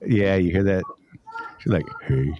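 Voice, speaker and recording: A man's voice: low-pitched, unhurried, no echo, lo-fi sound. Upbeat man hosting a live stream. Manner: upbeat, greets chat viewers by name. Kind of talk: casual live-stream chat.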